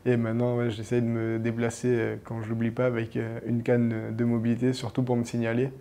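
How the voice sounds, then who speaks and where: A man in his twenties, a para-judo athlete, giving relaxed, casual interview answers. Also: medium pitch, brisk. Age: 20s.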